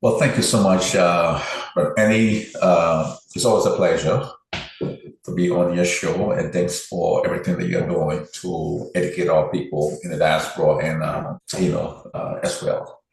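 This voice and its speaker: A man's voice: sits low, little pitch movement, moderate pace, vocal fry. A man from Liberia, a political candidate laying out his plans in an earnest, methodical interview. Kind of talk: interview answers, campaign pitch. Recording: very echoey room.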